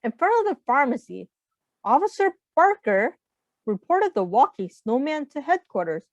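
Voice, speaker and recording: A woman reading a picture book aloud to young children in an expressive, teacherly way. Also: high-pitched, moderate pace. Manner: expressive, asks the listeners questions. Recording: muffled, echoey room sound.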